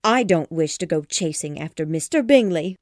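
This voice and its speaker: A woman voicing a cold, aloof young woman speaking in a cool, flat way. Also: lowish voice, normal speed, dry recording, clean studio sound, close to an everyday voice.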